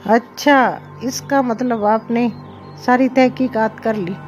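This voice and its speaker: A woman's voice: fairly high pitch, fairly even intonation, takes it slowly, dull audio. A woman playing a troubled wife in a dramatized children's ghost story. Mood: impressed. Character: troubled, pleading wife in haunted house. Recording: music behind the voice. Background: music.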